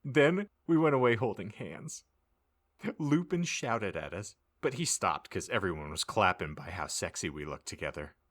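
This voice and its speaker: A man's voice: fairly low pitch, very animated, normal speed, a bit bright. A man reading aloud as a podcast host, narrating a comic audiobook in a mock-serious, theatrical way.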